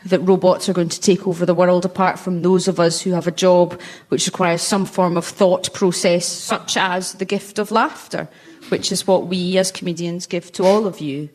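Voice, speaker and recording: A woman's voice: fairly low pitch, steady tone, normal speed, a little mellow. A woman comedian bantering on a comedy panel show in front of a live audience. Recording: live audience, noisy crowd behind. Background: studio audience laughter.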